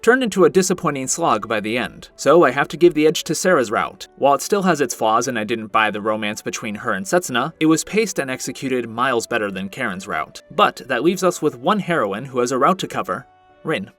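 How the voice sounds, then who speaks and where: A man's voice: mid-range voice, animated, a little fast, a bit bright. A man narrating a scripted video review with casual asides, in an emphatic, opinionated, conversational manner. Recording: music playing behind the voice. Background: background music.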